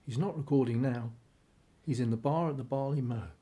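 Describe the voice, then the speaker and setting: An actor playing an ordinary, wary husband with a dry conversational manner in a radio drama. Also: male voice, mid-range voice, a little fast, warm tone, slightly rough, close to an everyday voice. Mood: resolved, hushed.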